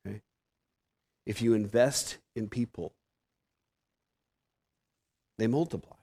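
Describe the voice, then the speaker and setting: A gravelly, fairly low-voiced man in his fifties preaches in a church with a Pacific Northwest American accent. His delivery is conversational and self-deprecating, full of restarts. The creak in his voice stays steady throughout. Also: takes it slowly. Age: 50s. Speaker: guest preacher. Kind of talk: sermon. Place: church sanctuary.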